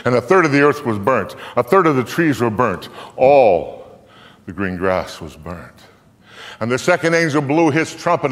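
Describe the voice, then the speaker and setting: A long hall reverb surrounds the voice of an older man reciting scripture on a theater stage. His voice sits at a medium pitch. He delivers it as a solemn dramatic reading, declaimed with deliberate pauses and swells. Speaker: dramatic reader.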